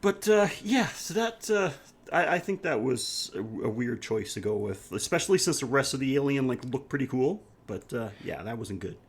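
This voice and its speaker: A man's voice: medium pitch, big pitch swings, breathy edge. A man co-hosting a podcast, reviewing a film casually, swearing freely and often searching for his words. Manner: profane, halting, searching for words. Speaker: podcast co-host. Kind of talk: casual chat, movie review.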